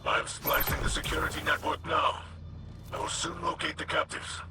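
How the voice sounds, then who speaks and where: A man voicing a gentle, solemn alien warrior leader in a video game, speaking formally and with measured phrasing. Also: a little high, level tone, noisy background, lightly acted. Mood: solemn, focused. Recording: music behind the voice.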